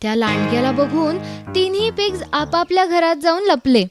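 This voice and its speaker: A female storyteller reads a children's tale in a high voice. It is scripted narration, with soft music playing behind her. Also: lively intonation, moderate pace. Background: background music.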